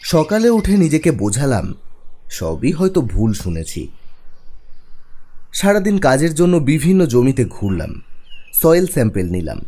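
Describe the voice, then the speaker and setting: A man playing the haunted protagonist of an animated horror story, narrating it in the first person with measured, suspenseful pauses. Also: mid-range voice. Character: haunted male field worker narrating his ghostly encounter. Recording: music under the voice.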